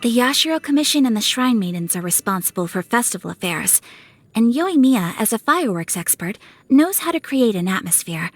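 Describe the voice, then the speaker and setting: A young woman playing a refined, dutiful, gentle noblewoman in a video game, speaking with formal, courteous, measured phrasing. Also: on the high side, very animated. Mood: gentle, composed. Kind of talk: scripted video game character dialogue. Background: music.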